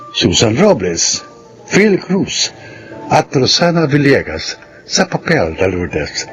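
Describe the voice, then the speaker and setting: A man announces for a radio drama in a mid-pitched voice. His scripted delivery is slow yet lively in intonation, with clear music underneath. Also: low-quality recording. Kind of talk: scripted closing announcement and credits.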